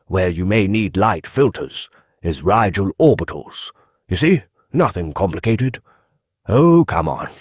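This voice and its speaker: A man's voice: on the low side, a little mellow, slightly rough, dry recording, clean studio sound, exaggerated voice. A man voicing a chatty, humble old civil servant who rambles in a chummy, folksy way, as in an audiobook monologue. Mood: chummy, modest. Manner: rambling, chummy, drawn-out delivery.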